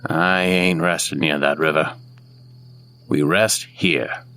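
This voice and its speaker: Gravelly, gruff actor as a frontier trailsman. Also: extremely low pitch, steady tone, slow pace, sharp tone, slight background noise, heavily acted. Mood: gruff, firm. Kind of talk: historical audio drama acting.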